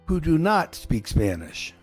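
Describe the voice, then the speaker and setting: Slow-speaking male pastor, measured pauses. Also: on the high side, very animated, darkish tone, husky, faint music behind. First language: English. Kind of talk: bilingual sermon-style talk.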